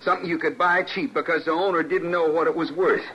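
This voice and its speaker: A man's voice: on the high side, steady tone, fast, natural voice. A man playing a greedy, indignant American frontier schemer, whining and blustering in an old-time radio western. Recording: muffled, hissy old radio broadcast.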